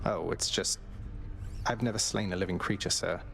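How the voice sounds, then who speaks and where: Low-voiced brooding young underworld prince. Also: male voice, steady tone, normal speed, gravelly, music in the background. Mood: thoughtful, subdued. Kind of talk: video game voice acting.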